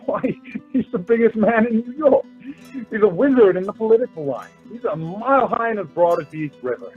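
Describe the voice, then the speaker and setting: Radio play suitor, male actor: young, earnest, working-class, emphatic, slightly high husky voice, muffled recording. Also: expressive, a little fast. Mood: awed, boastful. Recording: muffled lo-fi audio with music behind.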